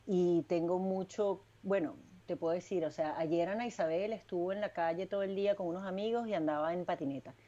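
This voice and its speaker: A woman's voice: on the low side, a little fast, few pauses, mellow, muffled recording. A middle-aged woman with a strong Venezuelan accent, a clinical psychologist chatting casually as an interview guest and telling stories with self-deprecating humor. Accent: Venezuelan Spanish.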